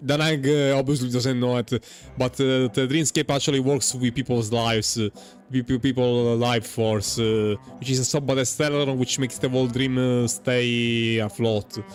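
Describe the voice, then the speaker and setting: A man giving casual playthrough commentary on a video game in a rambling, halting manner. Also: mid-range voice, level tone. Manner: rambling, halting, says "lol" aloud. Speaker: gaming content creator. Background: faint game music.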